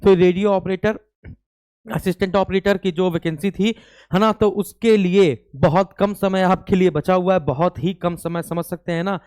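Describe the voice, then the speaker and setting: Clear higher-pitched man teaching. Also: fairly even intonation, moderate pace, a little mellow, dry recording. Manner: explanatory, repeats phrases and adds check-in tags. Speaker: teacher and presenter of an exam-coaching channel. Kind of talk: informal exam news update to students.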